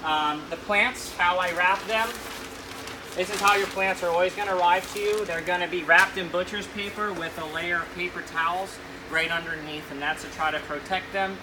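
A man's voice: high voice, steady tone, normal speed, background noise. A young man giving a casual walkthrough tour of his plant shop's warehouse and grow room, upbeat and rambling with frequent ums, filmed on a handheld camera. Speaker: plant shop owner.

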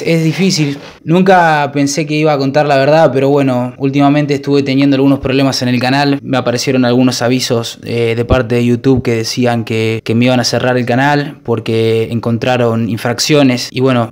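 A young man with a Rioplatense accent, a YouTuber talking in a halting, mock-solemn confession, holding a mic close in a small kitchen. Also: medium pitch. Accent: Rioplatense Spanish. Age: young adult. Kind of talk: mock-serious confession vlog. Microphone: handheld mic held close.

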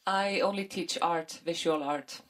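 A woman's voice: fairly low pitch, measured pace, a bit bright, recorded from a distance, poor audio. Icelandic woman, art teacher. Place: school classroom, indoors.

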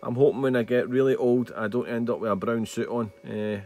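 A man's voice: mid-range voice, steady tone, brisk, darkish tone. A man chatting casually and a little hesitantly, unscripted, as he demonstrates radio equipment as a hobbyist. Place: small indoor radio desk. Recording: very noisy, radio static and hiss. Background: CB radio noise.

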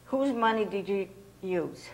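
Gravelly-voiced older woman hosting a talk show. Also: medium pitch, lively intonation, measured pace, a little mellow, poor audio. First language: Hungarian. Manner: chatty, laughs often. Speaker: host. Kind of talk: casual interview chat. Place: TV studio set. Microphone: lapel.

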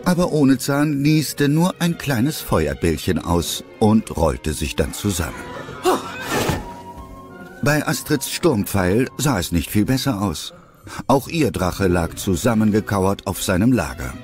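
A man's voice: on the low side, big pitch swings, measured pace, a little mellow, soft background music. A man reading scripted narration for an audio drama.

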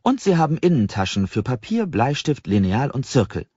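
A man's voice: medium pitch, sing-song, normal speed, warm tone, breathy edge, voice pushed up. A man voicing a cheerful, welcoming doorkeeper of a secret library in an audiobook, friendly, amused and eager to explain. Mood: cheerful, helpful. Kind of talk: audiobook character voice. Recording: slightly dull, roomy audiobook recording.

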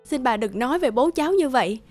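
A woman's voice: sits high, expressive, fast, a little mellow, no echo, soft background music. A woman playing a grieving, bitter young daughter in a cartoon drama, emotional and earnest. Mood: earnest, pleading. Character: grieving, bitter young daughter defending her dead father. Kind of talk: dubbed cartoon drama acting.

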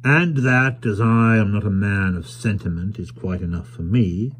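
A man's voice: fairly low pitch, moderate pace, slight background noise, lightly acted. A man voicing a smug, domineering merchant in a storybook reading, pompous, clipped and sardonic. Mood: smug, mocking. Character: sneering, smug, domineering male merchant Tackleton. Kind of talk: audiobook character voice.

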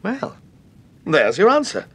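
An actor playing an insistent, indignant but fussily polite British gentleman in a radio drama. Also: sits high, huge pitch swings, slow, slightly rough, full character voice.

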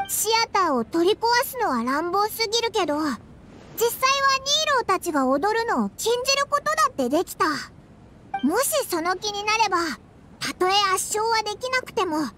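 Anime game mascot fairy: tiny, excitable, boyish in speech, extremely high and piercingly bright. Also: female voice, moderate pace, a little hiss or hum, full character voice. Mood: puzzled musing. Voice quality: squeaky, childlike. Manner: boyish casual speech, exclamatory. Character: small floating fairy-like companion, chatty, childlike, excitable. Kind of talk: Japanese anime game dub.

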